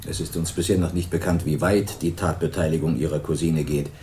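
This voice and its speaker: A low-voiced, measured police detective. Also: male voice, steady tone, dark tone, slight background noise. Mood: calm. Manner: measured, official, probing. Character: calm, formal male police investigator. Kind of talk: 1980s radio crime drama.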